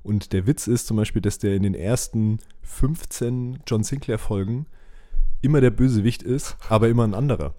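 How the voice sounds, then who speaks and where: A man's voice: lowish voice, normal speed, mellow. A man chatting casually as a podcast host, explaining things like an enthusiastic fan in informal slang. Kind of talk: casual chat about childhood audio dramas.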